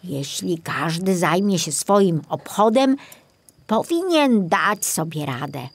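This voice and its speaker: A woman narrating a children's storybook in an expressive, deliberate style. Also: fairly low pitch, husky. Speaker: audiobook narrator.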